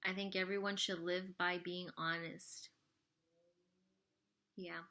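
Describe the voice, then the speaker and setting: Woman, 30s, vlogger, bright chatty voice, rambling, casual Q&A to camera. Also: lowish voice, flat delivery, slow. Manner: rambling, full of "like" and "you know". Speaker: vlogger answering viewer questions.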